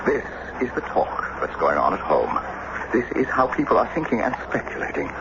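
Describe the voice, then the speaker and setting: A man's voice: on the low side, huge pitch swings, moderate pace, creaky, full character voice. An actor playing a blunt, suspicious, irascible eighteenth-century American statesman in a radio drama, with a formal, emphatic manner. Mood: intense. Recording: noisy, muffled old radio broadcast recording.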